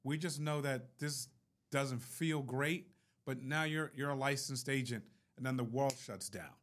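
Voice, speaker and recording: A man's voice: mid-range voice, a little slow, a bit bright. Encouraging male podcast host. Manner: encouraging, with frequent short backchannels. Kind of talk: casual interview chat.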